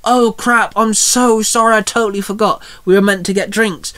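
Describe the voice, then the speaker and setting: A man's voice: high voice, steady tone, moderate pace, a bit bright, dry recording, voice pushed up. A man voicing an evasive, apologetic young college woman, a guilty girlfriend. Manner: apologetic, hedging.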